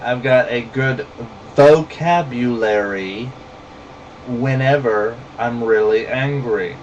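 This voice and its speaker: A man's voice: medium pitch, takes it slowly, far from the mic, dull audio, slight background noise. A man with a Southern US accent, ranting bluntly and saltily to camera in a heated monologue. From rural southern United States. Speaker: vlogger.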